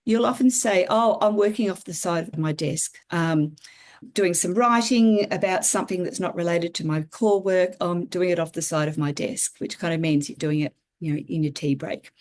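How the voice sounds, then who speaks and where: A woman's voice: fairly low pitch, normal speed, a little mellow. A woman from Australia with an Australian accent, speaking as an interview guest in a thoughtful, earnest way, explaining ideas at length. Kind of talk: casual conversational interview.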